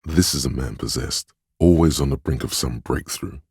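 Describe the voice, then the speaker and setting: A man voicing a gentle, perceptive inner voice in a video game, narrating others' feelings in a measured, hushed, solemn and compassionate way. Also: a bass voice, slightly rough, no echo, clean studio sound, exaggerated voice. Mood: wistful, compassionate. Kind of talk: scripted game narration, intimate inner monologue.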